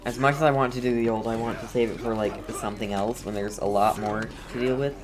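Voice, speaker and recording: A game streamer giving casual, slangy gameplay commentary, muttering in a halting way. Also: medium pitch, steady tone, crowd noise.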